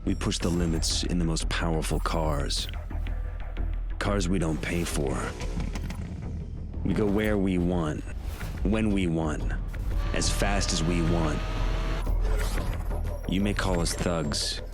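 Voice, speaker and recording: Very deep, menacing lawman. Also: slow, pauses often. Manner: stern, ominous, declamatory. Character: menacing authoritarian male police officer, grim and self-righteous. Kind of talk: cinematic game monologue. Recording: loud music under the voice.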